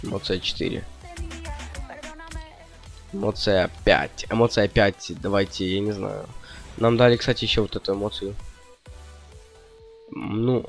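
Young male gaming host, lowish voice, casual and indecisive, faint game music behind. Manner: slangy, indecisive rambling to viewers. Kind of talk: casual gameplay commentary.

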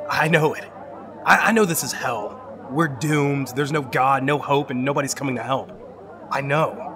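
A man's voice: a little high, noisy background, lightly acted. A man playing a desperate, abandoned young heir in an apocalyptic sci-fi audio drama, pleading and emphatic. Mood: bitter, desperate. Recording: dramatic music underneath.

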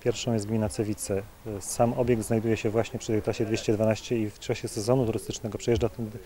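Man, forties, flat delivery, mellow low voice, formal, outdoors. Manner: measured, formal, with hesitations. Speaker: local official. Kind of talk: outdoor news interview. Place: outdoors at an event site.

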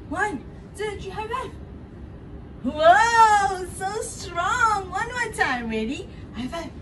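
A young woman with a Singaporean accent leads an interactive lesson for preschoolers, speaking in short, simple, encouraging phrases with exaggerated sing-song delivery. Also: extremely high pitch. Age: young adult. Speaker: preschool teacher at a children's gym. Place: indoor padded children's gym. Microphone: camera a few metres away. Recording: distant mic with clear room noise.

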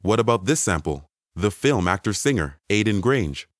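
A man's voice: on the low side, big pitch swings, normal speed, dry recording, clean studio sound. A man playing an incredulous, money-minded manager in an audio story, with an astonished, questioning delivery. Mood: questioning, intrigued. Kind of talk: acted dialogue in an audio short story.

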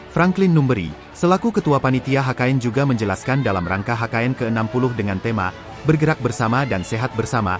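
A man reading a scripted news voice-over in a brisk, even delivery. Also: medium pitch. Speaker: news narrator.

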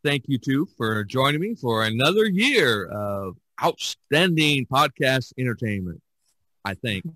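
An American man hosting a casual podcast, speaking in a somewhat halting way. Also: medium pitch, very animated, sharp tone, no echo. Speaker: podcast host. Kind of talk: casual podcast talk with announcing.

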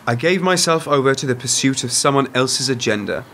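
A man's voice: medium pitch, animated, sharp tone, a little hiss or hum. An actor as a wry, world-weary male author. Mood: cynical, measured. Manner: dry, measured, self-deprecating. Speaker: actor in a radio play. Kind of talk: radio drama dialogue.

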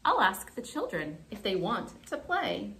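A woman's voice: mid-range voice, wildly swooping pitch, unhurried, a bit bright, a little hiss or hum, low-quality recording. A woman voicing a sleepy, stubborn little male groundhog in a children's storybook. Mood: content.

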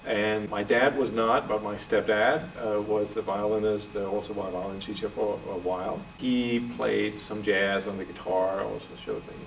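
A man in his forties from Denmark with a Danish accent, answering interview questions in a relaxed, thoughtful way with filler pauses. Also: on the low side, creaky, distant mic, a little hiss or hum, low-quality recording. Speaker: guest jazz violinist. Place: office room, indoors.

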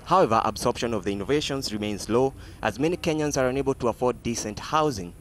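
A male news reporter reads a business feature in a measured, formal way. His voice sits at an ordinary, middle pitch. The read is clean and steady. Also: a little hiss or hum. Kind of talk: scripted news narration.

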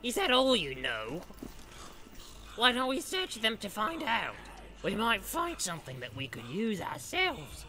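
A man's voice: sits high, wildly swooping pitch, a little slow, bright, forceful tone, a little hiss or hum, voice pushed up, full character voice. A man voicing a shifty, spiteful orc underling, grumbling and needling in a fantasy audiobook.

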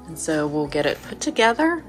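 A woman's voice: low voice, very animated, fairly quick. A woman in her thirties chatting casually and encouragingly to viewers of her home vlog, recorded indoors at home. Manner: encouraging, addresses viewers directly. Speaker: home decor and lifestyle vlogger. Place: rooms of a house, indoors. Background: background music.

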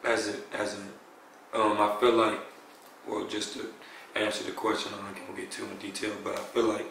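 A young man with a slightly raspy voice, talking in a loose, rambling way, as a blindfolded contestant on a blind date. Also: lowish voice, flat delivery, brisk, fairly bright tone, a little hiss or hum. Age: young adult. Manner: laid-back, rambling, lots of "you know what I'm saying". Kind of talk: casual chat. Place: living room indoors. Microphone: far camera. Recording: echoey room from far off.

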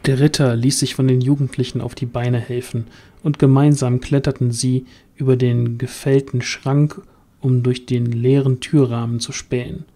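A man's voice: mid-range voice, level tone, normal speed, warm tone. A man narrating a scripted fantasy audio drama as its storyteller.

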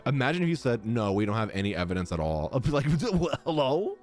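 A man's voice: medium pitch, fairly quick. A young man giving casual, slangy live reactions to a game as a streamer, close on a desk mic. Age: young adult. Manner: expressive, slangy. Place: indoor gaming room. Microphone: close desk microphone. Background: game music.

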